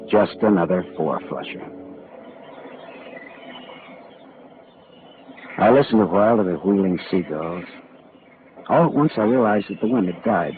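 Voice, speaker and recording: A hard-boiled American private detective in a 1940s radio drama. The voice is very low, clipped and wry, world-weary yet decent. The sound is thin and tinny, an old broadcast with music. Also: male voice. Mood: somber narration. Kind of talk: 1940s radio drama acting and first-person narration.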